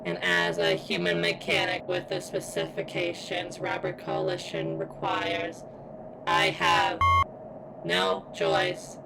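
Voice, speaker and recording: Woman doing a busted robot girl for asmr roleplay, super croaky and low, stiff formal wording, sounds strained, noisy background. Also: heavily acted. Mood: strained, deferential. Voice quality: strained, as if in pain. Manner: formal, clinical, stilted wording with halting pauses. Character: damaged female surveillance robot, deferential, secretly sentient. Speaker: voice actor in audio roleplay. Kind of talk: ASMR roleplay, scripted character monologue.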